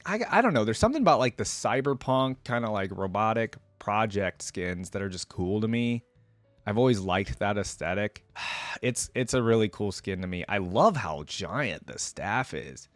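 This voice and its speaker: Guy with a lowish voice doing reaction commentary, slangy, talks right to viewers, really dry close mic. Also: expressive, normal speed, dry recording, faint music behind. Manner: informal, slangy, exclamatory, addressing viewers directly. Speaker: reaction video host.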